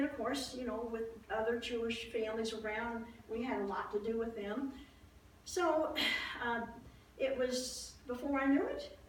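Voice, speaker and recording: Echoey, high-voiced woman acting an aging priest's wife. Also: close to an everyday voice. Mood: busy, explanatory, then puzzled, yearning. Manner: chatty, folksy, halting, emphatic. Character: warm, wistful aging wife of ancient Judean priest. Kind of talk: first-person dramatized Bible storytelling to an audience. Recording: echoey room, distant mic, faint noise.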